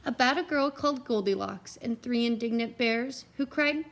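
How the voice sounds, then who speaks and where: A woman reading a picture book aloud to young children in an expressive way, with simple asides to her listeners. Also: fairly high pitch, normal speed. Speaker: read-aloud teacher and storyteller.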